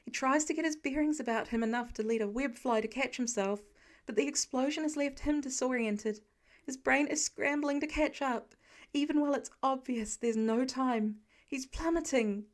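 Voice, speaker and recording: A woman's voice: sits high, normal speed. A woman reading scripted story narration as an audiobook-style narrator. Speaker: podfic narrator.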